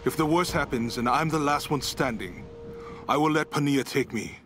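A man's voice: on the high side, normal speed, fairly bright tone, soft background music, natural voice. An actor playing a solemn, haunted, mystical man, brooding and poetic in a game monologue. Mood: grim resignation. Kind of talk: dramatic game voice acting, monologue.

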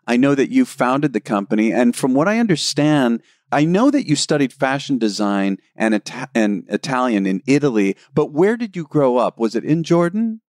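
A man's voice: medium pitch, big pitch swings, darkish tone, no echo, clean studio sound. Enthusiastic male podcast host. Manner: enthusiastic, quick to react with "wow". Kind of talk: interview conversation.

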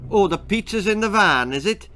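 A man's voice: sits high, animated, normal speed, sharp tone, soft background music, voice pushed up. A man voicing a cheeky, nosy, chattering Welsh boy in a children's storybook, full of questions. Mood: eager, cheeky.

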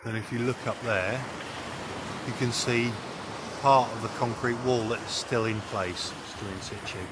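A middle-aged British man gives casual, off-the-cuff commentary in a halting, musing way as he looks around. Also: medium pitch, fairly even intonation, heavy background noise. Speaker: vlogger. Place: outdoors on a beach below cliffs.

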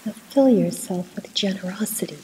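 A woman leading a guided meditation in a soft, at times breathy voice, soothing and unhurried, with pauses between short instructions. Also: mid-range voice, a little hiss or hum, rough recording.

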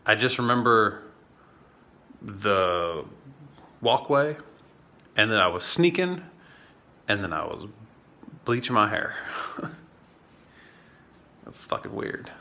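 A man's voice: on the low side, expressive, takes it slowly, long pauses, pushed and bright. A man in his thirties vlogging casually into a handheld phone, rambling with lots of fillers and swearing. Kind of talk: casual vlog chat. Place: indoors at home, then outdoors on city streets and a trail. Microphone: phone camera held close at arm's length.

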